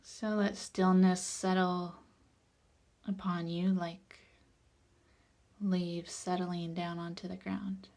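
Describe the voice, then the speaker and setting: Slow, low-voiced woman guiding meditation. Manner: calm, unhurried, soothing with long pauses. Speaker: guided meditation instructor. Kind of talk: guided meditation.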